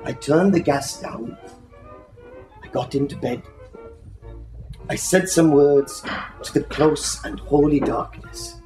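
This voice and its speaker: A man giving an expressive, lyrical and unhurried reading of a literary story aloud to listeners. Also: on the high side. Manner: dramatic, lyrical, unhurried delivery. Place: indoor reading in a bookshop. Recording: roomy echo, faint music behind.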